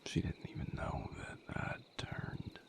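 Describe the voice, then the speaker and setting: A man playing a tender, weary vampire mate in an intimate audio roleplay, speaking softly and haltingly in a breathy, gravelly near-whisper. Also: background noise, close to an everyday voice. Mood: fond, reflective. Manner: unhurried, halting, soft-spoken with long pauses.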